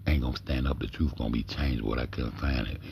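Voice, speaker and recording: A man hosting a livestream with a big deep voice, talking low and dropping to a near-whisper as he reads text aloud, then riffing in loose, profane commentary. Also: steady tone, fast, vocal fry, darkish tone, a little hiss or hum. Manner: talks low, often drops to a near-whisper. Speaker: livestream host.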